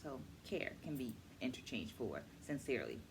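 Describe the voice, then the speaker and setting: A middle-aged woman hosting a book club vlog, explaining to camera with deliberate, emphatic phrasing. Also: a bass voice, big pitch swings, a little hiss or hum. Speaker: host of a virtual book club, educator. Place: small furnished room at home, indoors.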